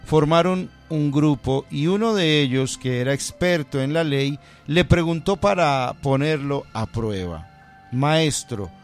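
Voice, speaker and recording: Gentle priest hosting and reading scripture. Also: male voice, medium pitch. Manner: gentle, affirming, reads scripture solemnly. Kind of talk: pastoral interview and Gospel reading.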